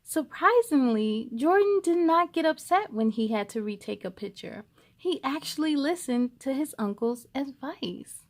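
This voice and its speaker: A woman hosting a children's read-aloud, expressive and encouraging as she speaks to young listeners. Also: sits high, unhurried. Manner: expressive, encouraging, addresses young viewers directly. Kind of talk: animated storybook reading for children.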